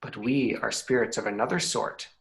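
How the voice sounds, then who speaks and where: A man playing a commanding, scheming fairy king, reading Shakespearean verse in a measured, declamatory way. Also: mid-range voice, creaky, close to an everyday voice. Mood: proud, urgent. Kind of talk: Shakespeare table read in verse.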